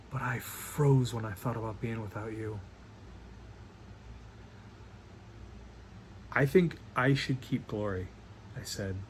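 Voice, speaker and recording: A man's voice: mid-range voice, close to an everyday voice. Man acting a doting, rambling father, noisy recording. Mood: pained, wistful. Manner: halting, earnest, rambling, with nervous stammers. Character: doting, rambling middle-aged father confessing to his daughter. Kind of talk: one-act play monologue performed on video call. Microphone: video call. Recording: noisy video call audio.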